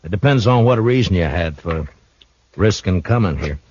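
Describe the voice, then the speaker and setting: A deep-voiced, calm frontier marshal. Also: male voice, moderate pace, a little hiss or hum. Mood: calm, probing. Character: steady, shrewd frontier town marshal, grown man. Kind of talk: 1950s radio drama acting. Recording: dull old radio broadcast recording.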